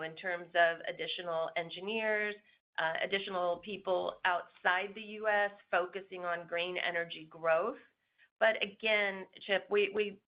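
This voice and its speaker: A woman answering an analyst's question as a company executive, in a measured way. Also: fairly low pitch. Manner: measured, uses "you know". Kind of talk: answering questions on a conference call. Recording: dull lo-fi call audio.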